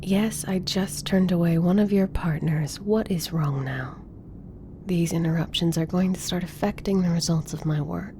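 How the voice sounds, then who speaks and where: A woman playing an exacting scientist in a roleplay audio drama, with measured, precise, formal delivery. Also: lowish voice, background noise, lightly acted. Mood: irritated, stern. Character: brisk, exacting female scientist, easily irritated.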